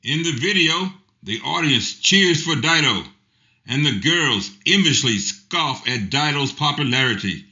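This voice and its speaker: A man reading scripted narration in an even, announcer-like way. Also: on the high side, a little slow. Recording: dull, lo-fi sound.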